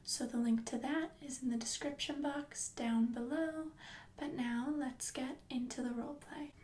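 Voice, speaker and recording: A young woman introducing her ASMR video, softly and partly in a whisper. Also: high-pitched, fairly even intonation, echoey room. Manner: soft, unhurried. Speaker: ASMR creator.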